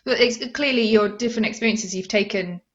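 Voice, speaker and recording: A woman from northern England hosting a podcast interview, asking questions in a relaxed, friendly way. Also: mid-range voice, fairly quick, dull audio. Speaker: podcast host. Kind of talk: casual interview.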